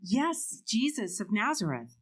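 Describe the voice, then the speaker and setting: A woman playing a hopeful, faithful townswoman who answers gently and reassuringly in an amateur church dramatic reading. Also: medium pitch, big pitch swings, measured pace.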